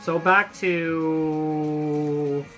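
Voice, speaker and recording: Bright, chatty young livestream host. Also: medium pitch, steady tone, very slow, faint music behind. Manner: chatty and upbeat, with frequent fillers and restarts; friendly and effusive toward viewers. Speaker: hobby shop staff hosting a livestream. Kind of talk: casual livestream chat, greeting viewers and reading their comments.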